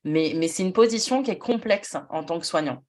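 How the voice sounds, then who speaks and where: A woman's voice: fairly low pitch, fairly quick, a little mellow. A woman who was once a nurse, hosting a podcast conversation and talking things through in a reflective way with frequent restarts. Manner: reflective, thinks aloud with many restarts. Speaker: podcast host, former nurse.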